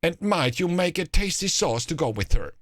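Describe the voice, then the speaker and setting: A man's voice: on the high side, big pitch swings, normal speed, a bit bright, no room sound, clean studio sound, pitched above the performer's own voice. Man playing a hungry, imperious old ogress queen. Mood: hungry, urgent. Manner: urgent, commanding.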